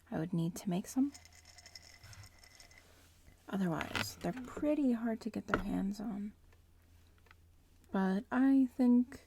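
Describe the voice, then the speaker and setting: A woman playing a smug, bratty young witch in training in an ASMR roleplay, softly whispering and murmuring close to the microphone in an unhurried way. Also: slight background noise. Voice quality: soft, breathy whispering mixed with low murmur.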